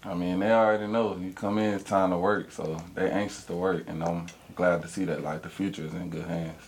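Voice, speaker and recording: A young college athlete talks in a relaxed, low-key manner. His voice is deep and husky. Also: a little fast. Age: young adult. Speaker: college football player. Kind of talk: casual interview answers.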